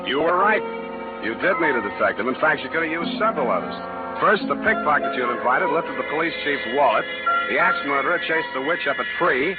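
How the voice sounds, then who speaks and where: A man playing a wry, hard-boiled private detective, narrating his case deadpan in 1940s radio style. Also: on the high side, a little fast, close to an everyday voice. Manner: deadpan, wisecracking. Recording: tinny old radio recording with loud music.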